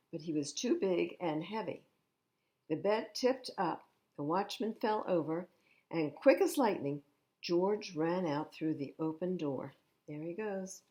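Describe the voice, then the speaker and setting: A woman reading a picture book aloud to children at storytime, expressively, pausing to explain the pictures in simple words. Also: fairly low pitch, darkish tone. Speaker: library storytime reader.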